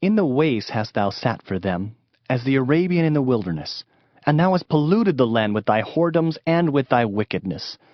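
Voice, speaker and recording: A man's voice: medium pitch, no room sound. A man narrating a dramatized scripture reading in a solemn, declamatory manner. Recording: dull band-limited audio, music behind. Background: music.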